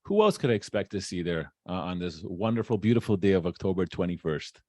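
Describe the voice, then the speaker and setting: A man's voice: low-pitched, sing-song, fast talker, mellow, no room sound, clean studio sound. A man hosting a podcast, asking questions in a casual interview style.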